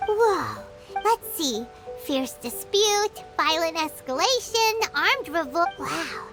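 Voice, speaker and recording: A woman voicing a tiny, excitable fairy companion in an anime video game, squeaky and childlike, exclaiming with wide-eyed wonder. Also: takes it slowly, heavily acted. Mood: amazed. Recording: loud tinkling music under the voice.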